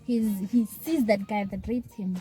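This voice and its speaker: A soft-spoken woman from South Africa, a guest author talking casually with hesitant restarts. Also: mid-range voice, steady tone, measured pace, soft background music. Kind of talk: casual chat.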